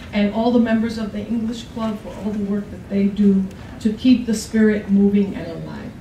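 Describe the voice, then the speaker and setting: A woman's voice: mid-range voice, mellow. A middle-aged woman, a college provost, giving an informal welcome speech. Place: college classroom at a podium. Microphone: distant camera. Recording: echoey room, distant mic, clear noise.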